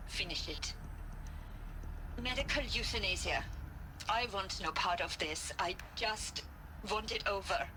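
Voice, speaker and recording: A woman's voice: medium pitch, measured pace, long pauses, background noise, natural voice. A woman voicing a bleak, despairing scientist in a video game, clipped, weary and bitter. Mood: resigned. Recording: music behind the voice. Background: game soundtrack music.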